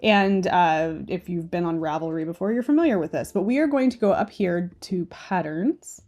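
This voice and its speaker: Woman knitting host, stuffy-nosed and nasal, chatty, opinionated, mellow tone. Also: lowish voice, animated, normal speed. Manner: chatty and opinionated, often asking "okay?". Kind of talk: casual screen-share commentary.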